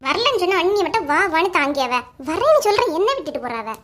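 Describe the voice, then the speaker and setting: A woman's voice: extremely high pitch, big pitch swings, fast, a bit bright, heavily acted. A woman voicing a whiny, grumbling teenage daughter in a cartoon comedy, with a Kanyakumari Tamil accent. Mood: sulky. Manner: whiny, complaining. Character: sulky teenage daughter who grumbles and talks back.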